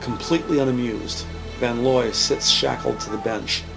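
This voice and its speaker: A man's voice: mid-range voice, steady tone, normal speed, creaky, over music, low-quality recording. A man reading scripted present-tense narration for a crime audio drama.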